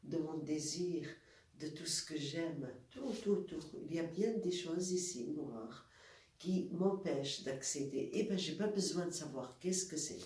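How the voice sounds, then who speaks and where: A woman with a cold, calmly leading a guided exercise as a wellness instructor and demonstrating movements step by step. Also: deep voice, measured pace. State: has a cold. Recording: echoey room, voice from far off, faint noise.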